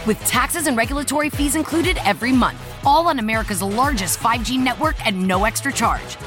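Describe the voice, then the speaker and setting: A woman's voice: on the high side, fairly quick, over music. A woman reading a scripted commercial with a polished, upbeat sales delivery. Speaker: ad announcer.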